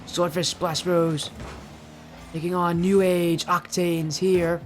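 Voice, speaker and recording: A teenage boy with a high-pitched, youthful voice, chatting with his live stream audience and casting a video game match, loud and excited on goals. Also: slow, a little hiss or hum. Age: teens. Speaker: esports caster. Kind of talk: live stream chat and play-by-play commentary.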